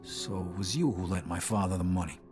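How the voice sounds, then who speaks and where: A man's voice: sits low, fairly quick, music in the background, lightly acted. An actor playing a weary young mobster who answers curtly and narrates his story in a game. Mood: terse. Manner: terse, guarded, reflective in narration. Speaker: protagonist and narrator. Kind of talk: video game cutscene acting.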